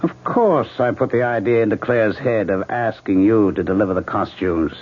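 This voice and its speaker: An actor playing a calm, manipulative male ghost in an old radio drama, with a husky voice. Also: fairly low pitch, moderate pace, natural voice. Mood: scheming. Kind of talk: 1970s radio drama acting. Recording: tinny lo-fi old radio broadcast.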